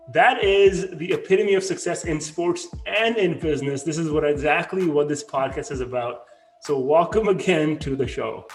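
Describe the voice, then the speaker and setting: Enthusiastic man hosting a podcast. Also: fairly high pitch. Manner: enthusiastic, introduces and asks questions. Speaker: podcast host.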